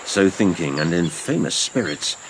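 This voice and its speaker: A man's voice: deep voice, animated, moderate pace, noisy background. A man playing a grown adventurer recounting his boyhood exploits, in theatrical, literary scripted narration. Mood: gripping, reflective. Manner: theatrical storytelling.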